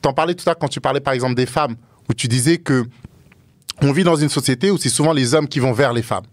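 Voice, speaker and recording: A man from Africa with a deep, carrying voice, chatting casually as a guest and voicing other people's remarks as he tells things. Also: fast talker, dry recording. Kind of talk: casual conversation.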